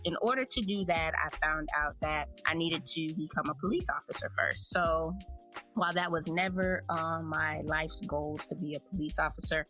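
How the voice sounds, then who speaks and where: A woman in her thirties, a podcast host, telling her life story in a relaxed, chatty, reflective way. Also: sits low. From United States. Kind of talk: casual personal storytelling.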